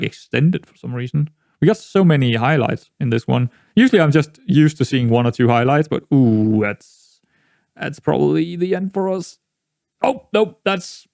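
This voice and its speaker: A young man with a Danish accent, a native Danish speaker, chatting casually through a football management game, rambling with many restarts and jumping into excited outbursts. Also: medium pitch, creaky, no echo, studio quality. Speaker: gaming YouTuber.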